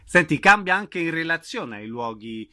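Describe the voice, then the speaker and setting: A man's voice: on the high side, very animated, normal speed, bright, forceful tone. A man working as a radio interviewer, putting questions in a conversational way.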